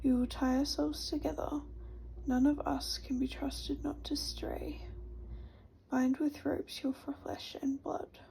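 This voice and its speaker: A woman whispering the lines of a gentle, steady strong man in a storybook reading. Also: high-pitched, takes it slowly, creaky, heavily acted, low-quality recording.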